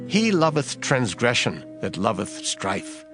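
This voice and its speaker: A man reads scripture as dramatized narration, solemn and measured. His pitch moves expressively through each emphatic phrase, with music playing clearly underneath. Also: very animated. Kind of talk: dramatized King James Bible reading. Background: background music.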